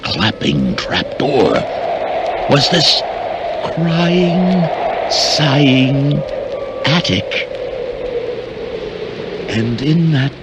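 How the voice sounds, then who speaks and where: Super slow theatrical guy telling a creepy tale, pitch swings all over, loud music behind. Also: sing-song, very noisy. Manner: drawn-out, ominous, theatrical. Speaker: storyteller. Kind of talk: slow, repetitive spooky storytelling.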